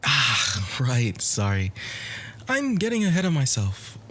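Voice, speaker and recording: Man acting a slow-talking, soft, flirty bookish charmer. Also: medium pitch, wildly swooping pitch, heavily acted. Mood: earnest, romantic. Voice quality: soft, breathy, often near a whisper. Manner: formal, wordy, gently hesitant flirting. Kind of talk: ASMR romance roleplay.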